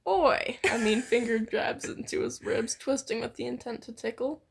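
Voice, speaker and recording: A woman's voice: a little high, normal speed, creaky, slightly rough. A young woman reading fan fiction aloud informally, with playful, slangy, teasing banter. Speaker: amateur fan fiction reader.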